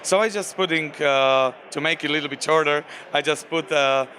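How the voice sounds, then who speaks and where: A man from Slovakia with a Slovak accent, a game designer speaking in a convention interview, halting with many fillers and restarts. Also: a little high, sharp tone, slight background noise.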